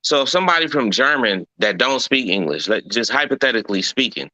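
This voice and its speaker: A man argues in a casual group debate, folksy and persistent. His voice is very bright and edgy, recorded dry and close. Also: medium pitch, lively intonation, fairly quick, dry recording, low-quality recording. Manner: folksy, persistent, keeps saying right and okay.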